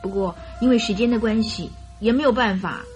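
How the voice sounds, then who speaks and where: A woman's voice: mid-range voice, a little fast, darkish tone, faint music behind, lightly acted. An actress playing an earnest, naive young bride-to-be in a radio drama, recounting her story in a hesitant, chatty way.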